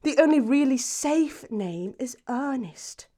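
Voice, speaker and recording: A woman's voice: high voice, expressive, slow pace, warm tone, heavily acted. A woman playing a self-assured, romantic young upper-class English lady, poised and precise, in an audiobook stage comedy. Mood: dreamy, emphatic. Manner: poised, precise, grandly emphatic.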